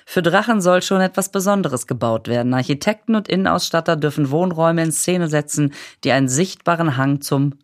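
A woman's voice: low-pitched, fairly quick, hardly any pauses. A woman in casual chat, reading horoscope texts aloud and laughing at her own slips.

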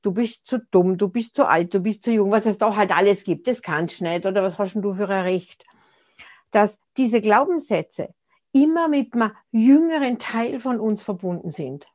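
A woman's voice: medium pitch, expressive, moderate pace, a little mellow. A woman, speaking as an interview guest in a reflective, conversational way and often restarting her sentences as she searches for words. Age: 60s.

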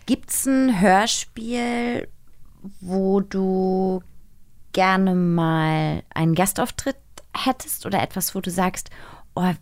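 A woman co-host talks in a casual, playful way. Her medium-pitched voice comes through dry, with no room around it, as she puts questions to the others. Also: takes it slowly, darkish tone, dry recording. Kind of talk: casual studio chat.

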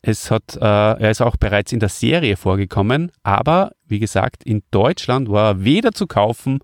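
A man's voice: lowish voice, expressive, normal speed, no room sound, studio quality. A man hosting a podcast, presenting in a casual, conversational way and addressing his listeners. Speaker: podcast host.

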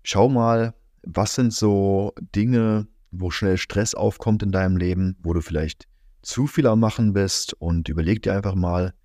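A man hosting a reflective solo podcast, speaking calmly with many fillers, his voice slightly strained from being a little under the weather. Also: low voice, measured pace, no room sound, studio quality. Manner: calm, musing, many fillers and "ja". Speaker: podcast host.

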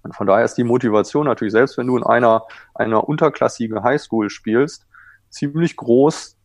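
A man's voice: medium pitch, a little fast, no echo. A man who coaches goalkeepers, explaining things in a self-assured, conversational way as an interview guest. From Germany. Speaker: water polo goalkeeper coach, former Olympian.

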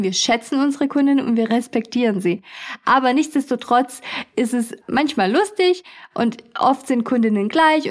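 Woman, young adult, chatty podcast host, laughs often, slightly high pitch. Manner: chatty, laughs often, acts out customers' voices. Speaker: podcast host, beauty studio owner. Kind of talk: casual storytelling podcast.

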